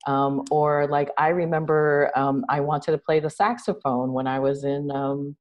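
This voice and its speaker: A middle-aged woman from New City, a guest on a video call, telling stories in a lively, animated way. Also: low-pitched, unhurried, lo-fi sound. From New York City. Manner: animated storyteller, gestures a lot, laughs. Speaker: guest, social entrepreneur. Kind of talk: casual interview chat. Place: home room indoors. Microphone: video call webcam.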